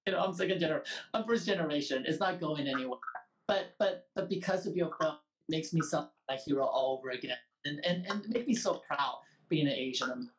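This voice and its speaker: A man's voice: on the high side, fast, a little hiss or hum. A man with a Taiwanese Mandarin accent, hosting a talk show and speaking earnestly and emphatically in an interview. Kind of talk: interview conversation. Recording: voice sounds distant from the mic.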